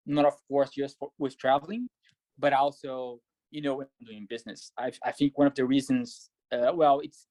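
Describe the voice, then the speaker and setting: A man talks through his answers in a measured way, pausing on fillers as he thinks. His voice sits at a middle pitch for a man. The sound is dry and close, with no room echo. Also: darkish tone. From Brazil. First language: Portuguese. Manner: many fillers, thoughtful and measured. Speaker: pro triathlete and coach. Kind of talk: casual interview answers.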